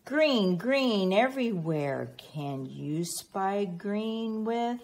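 Very slow, animated woman reading to children. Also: mid-range voice. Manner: teacherly, prompting with questions and pauses. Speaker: children's read-aloud reader. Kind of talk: expressive picture-book read-aloud with questions to young children.

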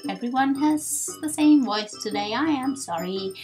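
A woman's voice: high voice, moderate pace. A woman doing casual, self-deprecating video game commentary, often apologetic as she plays. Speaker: let's player. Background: game music.

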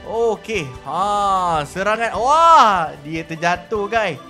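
A man with a Malaysian accent giving excited, animated gameplay commentary with roleplay, full of exclamations and drawn-out words. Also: high voice, faint music behind. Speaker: gaming YouTuber.

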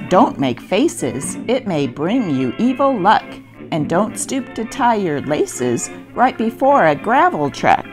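Female narrator, slightly low voice, expressive theatrical newspaper reading, faint music behind. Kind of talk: scripted narration of old newspaper stories.

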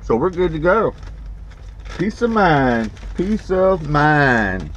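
A man's voice: fairly high pitch, sing-song, slow pace. A middle-aged man, a truck driver, talking to the camera from his cab in an easygoing, plainspoken, rambling way. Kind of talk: casual vlog narration. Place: inside a truck cab and outdoors beside it. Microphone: action camera mounted in the cab. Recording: dull camera audio with road noise.

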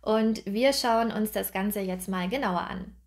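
Slow, clear young woman teacher. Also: medium pitch. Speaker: German teacher. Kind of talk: scripted grammar lesson to camera. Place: bright indoor room, seated at a table.

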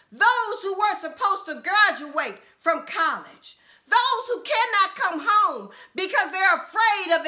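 A middle-aged woman pastor preaching a sermon from a small room at home, with impassioned repeated refrains and drawn-out emphasis. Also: extremely high pitch, sharp tone.

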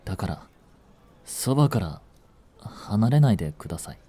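An actor playing a young genius swindler in a romantic audio drama, lovestruck, jealous and boyishly flustered, speaking politely. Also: male voice, lowish voice, sing-song, moderate pace, mellow, breathy edge. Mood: flustered, earnest. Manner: polite speech, stammering when flustered. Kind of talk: romantic audio drama acting, addressed to the listener.

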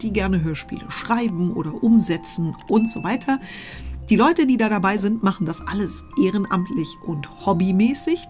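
A woman's voice: medium pitch, darkish tone. Enthusiastic middle-aged woman hosting. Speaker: host of an audio story channel. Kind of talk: enthusiastic introduction to viewers.

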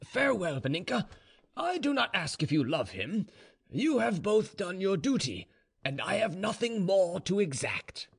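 A man acts out a Russian general in a storybook tale. The voice sits high with lively movement. He is stern, formal and resigned, delivering each decision with dignity. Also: measured pace, gravelly, slightly rough, exaggerated voice. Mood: solemn farewell. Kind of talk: storybook dialogue reading.

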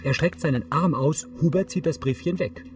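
A man's voice: fairly high pitch, moderate pace, music in the background. A man reading scripted audio description in a matter-of-fact, articulate way. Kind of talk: scripted narration.

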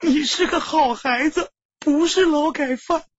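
A woman's voice: high-pitched, a little slow, no echo, dull audio. A woman playing a caring, earnest older sister who pleads with and reassures her brother, in audiobook style. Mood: earnest reassurance. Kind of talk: audiobook character acting.

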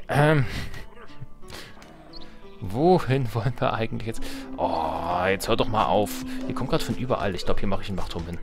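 A man giving casual, off-the-cuff gameplay commentary, muttering to himself with playful exclamations. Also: medium pitch. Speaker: let's player.

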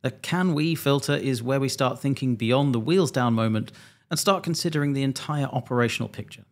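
A man around forty, an airline pilot, presenting a scripted explainer in a measured, methodical, slightly dry way, close to a desk microphone. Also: mid-range voice. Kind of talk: scripted explainer narration to camera. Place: small home studio indoors.

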